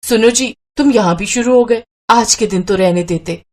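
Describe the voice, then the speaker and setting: A woman's voice: on the high side, normal speed, close to an everyday voice. A woman voicing a stubborn, exasperated wife who scolds and complains in a moral story. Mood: irritated. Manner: sharp complaining. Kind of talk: Hindi moral story acting.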